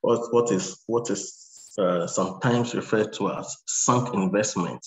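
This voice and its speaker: A hoarse man with a cold presents over a video call, speaking slowly and haltingly and stopping to clear his throat. His voice carries a roomy echo from the room around him. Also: lowish voice, a little hiss or hum. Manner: broken, hesitant delivery with throat clearing. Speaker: presenter from an energy agency.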